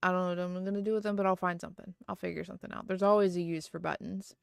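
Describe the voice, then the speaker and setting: A woman's voice: on the low side, little pitch movement, very fast, gravelly, smooth, no echo, studio quality. A woman livestreaming from home, chatting casually and rambling with lots of fillers and restarts. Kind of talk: casual chat.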